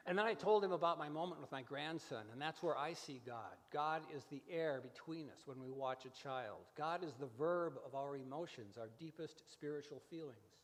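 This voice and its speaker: An older man hosting a church radio show, telling reflective stories in measured, deliberate phrases with pauses. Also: on the high side, fairly bright tone. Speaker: radio variety show host. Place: large church fellowship hall, live radio show. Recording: echoey hall, music behind.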